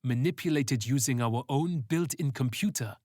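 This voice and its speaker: A narrator reads a composed male fighter in a sci-fi audio story. He explains gravely and calmly, in a middle register with a very dry sound. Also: mid-range voice, moderate pace, no room sound, natural voice. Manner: calm, explanatory.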